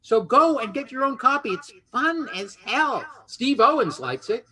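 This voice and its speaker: Bright, high-pitched man hosting a game show. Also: sing-song, unhurried.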